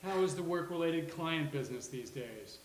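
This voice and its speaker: A slightly high male voice in an echoey room with faint hiss as a man plays a sitcom best friend. He is candid and protective, with a dry, sarcastic edge and emphatic delivery. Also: lively intonation, normal speed, close to an everyday voice. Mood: dry, teasing. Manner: dry, earnest, with emphatic outbursts. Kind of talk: live sitcom table read. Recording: roomy live venue with faint hiss.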